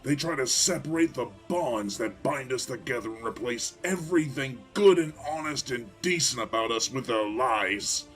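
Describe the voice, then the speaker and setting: A man acts out a hulking farmer-mayor of a sci-fi frontier town. He is proud, plain-spoken and unbending, with emphatic conviction at a middling male pitch. Faint music sits behind the voice. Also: lively intonation, normal speed, hardly any pauses, fairly bright tone, natural voice. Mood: defiant, resolute. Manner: blunt, emphatic, impassioned. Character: huge, proud, defiant farmer-mayor rallying his people. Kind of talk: audio drama acting. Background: faint background music.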